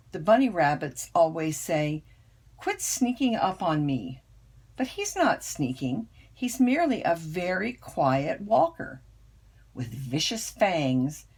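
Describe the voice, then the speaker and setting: An older woman, a grandmother, reading a children's picture book aloud with expressive, storytelling emphasis. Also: fairly low pitch, husky. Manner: unhurried, dramatic storytelling emphasis.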